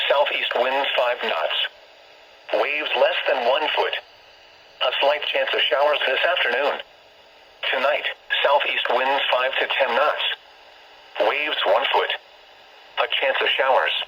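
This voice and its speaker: A synthetic male announcer reads a scripted weather bulletin over a weather radio receiver. His tone is hard and bright, with a husky grain. The delivery is formal and impersonal throughout. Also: medium pitch, muffled recording. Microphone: broadcast heard through a weather radio.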